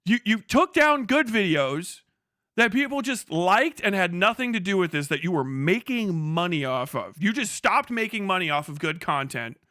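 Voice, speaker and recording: High-pitched, bright-voiced man ranting incredulously. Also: sing-song, normal speed, dry recording, clean studio sound. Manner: incredulous, mocking, slangy and profane. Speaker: live streamer reacting to internet drama. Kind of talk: casual profane ranting commentary.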